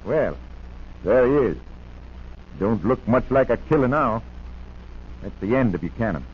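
An actor playing a plucky police officer on a manhunt in a 1940s radio drama. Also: male voice, mid-range voice, sing-song, a little slow, breathy edge. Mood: relieved. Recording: muffled noisy 1940s radio recording.

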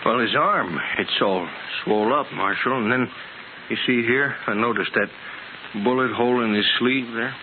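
An actor playing a simple, eager settler in a 1950s radio western, with a rural western American accent and a naive, stammering manner. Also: male voice, medium pitch, moderate pace, narrow, tinny audio, close to an everyday voice. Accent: rural American West. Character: simple, eager, broke prairie settler, male. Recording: noisy, clicking old radio recording.

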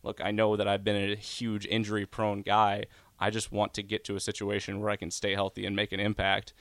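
Male reporter guest, young, low and monotone, analytical, remote call. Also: a little fast, no room sound. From Nebraska. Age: young adult. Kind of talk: radio interview, sports analysis. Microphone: remote video call.

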